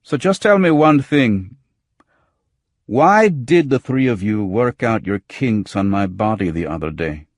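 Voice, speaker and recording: A man playing a weary, hard-boiled detective in audiobook dialogue, husky and terse in his questioning. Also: fairly low pitch, animated, a little slow, no room sound, clean studio sound, pitched above the performer's own voice.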